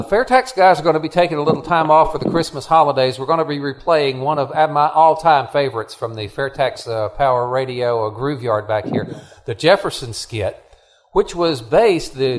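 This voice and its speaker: A man's voice: medium pitch, a little hiss or hum, low-quality recording. A man co-hosting a radio show in a casual, chatty style.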